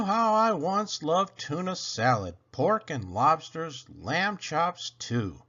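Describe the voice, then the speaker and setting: A man with a husky voice performs children's poetry at an unhurried pace. His pitch swings dramatically as he leans into words with playful emphasis and pauses. The recording is a little dull and lo-fi. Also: a bit bright. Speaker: reader of children's poems for a read-aloud channel. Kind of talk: theatrical children's poetry read-aloud.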